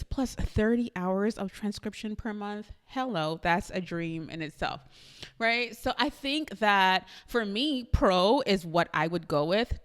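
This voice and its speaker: A woman with a Trinidadian accent talking chattily and eagerly to her viewers in a casual tutorial vlog. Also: lowish voice. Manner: chatty, enthusiastic, addresses viewers directly with frequent laughs. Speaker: YouTube creator.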